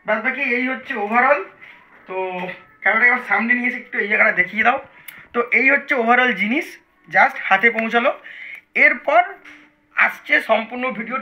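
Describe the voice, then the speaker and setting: Bright-voiced woman, echoey room. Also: a little high. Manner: chatty, addresses viewers directly, mixes in English words. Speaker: vlogger presenting a product. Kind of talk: casual unboxing commentary.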